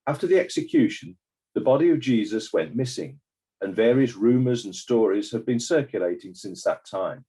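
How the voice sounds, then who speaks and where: An actor playing a formal court examiner, speaking in a measured, deliberate way in a scripted dramatized inquiry. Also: male voice, lowish voice, animated, dark tone, breathy edge. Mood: formal, solemn. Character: formal, probing male inquest examiner.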